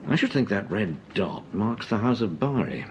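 An actor playing a calm, inquisitive gentleman detective in a period radio crime drama, with urbane, old-fashioned phrasing. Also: fairly low pitch, moderate pace, noisy background. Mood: musing, curious.

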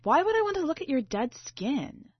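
A woman's voice: sits high, big pitch swings, moderate pace, no echo, pitched above the performer's own voice. A woman voicing a scornful, exasperated sister in a story, snapping and dismissive, on a slightly dull recording. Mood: disgusted. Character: scornful, exasperated girl, narrator's sharp-tongued sister.

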